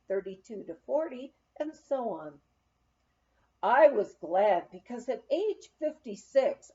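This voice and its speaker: A woman reading a memoir aloud as scripted narration, with measured, expressive storytelling. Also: mid-range voice, muffled recording. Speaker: audiobook reader.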